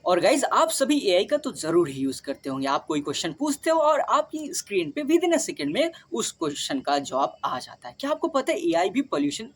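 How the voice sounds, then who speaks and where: An Indian woman presents a scripted explainer as a video host. Her pitch moves in a lively, animated way, sitting in a middle range for a woman. She addresses the listener directly. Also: moderate pace, hardly any pauses.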